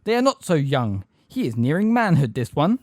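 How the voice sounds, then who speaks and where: A man's voice: medium pitch, very animated, a little fast, darkish tone, no echo, studio quality, natural voice. A man voicing a hard, bitter grey centaur in a storybook, scornful and angry, with a bellowing delivery. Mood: stern. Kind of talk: audiobook character acting.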